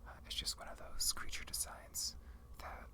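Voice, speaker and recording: An ASMR host whispering a casual, conversational review in a halting, rambling way. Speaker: video host.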